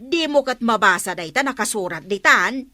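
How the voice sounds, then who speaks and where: A woman's voice: fairly high pitch, animated, moderate pace, bright, forceful tone, dry recording. A woman with an Ilocano accent playing a no-nonsense village shopkeeper in a radio comedy drama. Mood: firm, exasperated.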